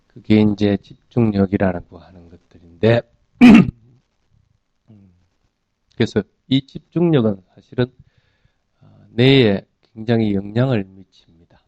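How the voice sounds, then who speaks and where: A man's voice: on the low side, animated, slow pace, long pauses, dark tone, dry recording, muffled recording. A man teaching in an explanatory dharma talk, putting questions to listeners and answering them in a didactic way. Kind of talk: explanatory sermon with everyday examples.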